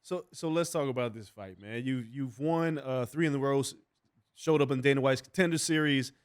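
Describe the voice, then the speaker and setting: A man's voice: mid-range voice, fairly quick, smooth. A man with an American accent co-hosting a sports podcast, casual, conversational and opinionated. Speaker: podcast co-host. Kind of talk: casual sports commentary and interviewing.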